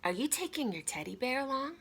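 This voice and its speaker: Lady doing a needling big-mouth sister, doubting questions, bright and swoopy, storybook style. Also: sits high, very animated, brisk, a little hiss or hum, pitched above the performer's own voice, exaggerated voice. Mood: teasing. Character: Ira's teasing, needling sister, a girl.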